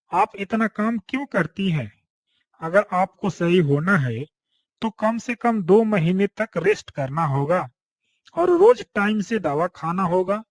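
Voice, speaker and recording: A narrator voicing a male village doctor in a cartoon story, giving firm, measured advice. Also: mid-range voice, no room sound.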